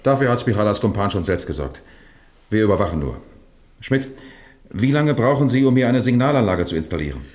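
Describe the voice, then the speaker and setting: A man's voice: on the low side, sing-song, brisk, darkish tone. A man playing a dry, commanding police captain in a German crime radio play, terse and businesslike. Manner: terse, businesslike, gives orders.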